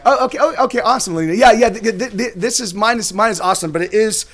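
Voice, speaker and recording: A man streaming live as a musician, chatting casually and rambling with a hoarse, worn voice. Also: sits high, fast, fairly bright tone. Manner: rambling, chatty, laughs often.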